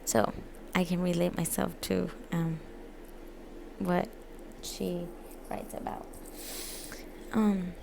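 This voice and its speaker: A woman who grew up in the United States gives an informal, halting book presentation as a student, often pausing and correcting herself. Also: medium pitch, vocal fry, warm tone, slight background noise. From United States, born in Mexico. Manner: hesitant, halting, frequent pauses and self-corrections. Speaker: student presenting a literature essay.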